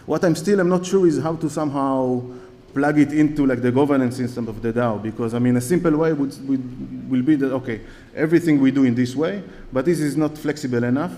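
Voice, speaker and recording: A man's voice: medium pitch, brisk, hardly any pauses, mellow. A man with an Israeli accent giving a conference talk from a lectern, hesitant with many fillers and restarts. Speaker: conference speaker. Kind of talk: conference talk with audience questions. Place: large conference hall on stage. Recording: long hall reverb.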